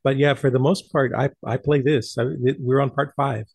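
A man's voice: medium pitch, fairly even intonation, normal speed, no echo. A man presenting an old-time radio show, chatting casually and introducing programmes.

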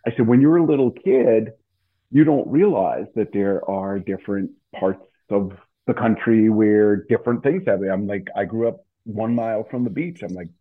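A man chatting casually as a radio presenter, with halting, hesitant phrasing, heard over a tinny phone-like line. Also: lowish voice. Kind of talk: casual chat.

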